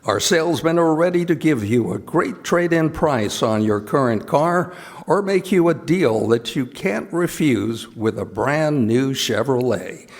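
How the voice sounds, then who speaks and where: A man's voice: medium pitch, animated, measured pace. An American man reading scripted announcements and sponsor messages in the style of an old-time radio announcer, live in a theater. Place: theater stage in a college. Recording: live theater hall, long reverb, music.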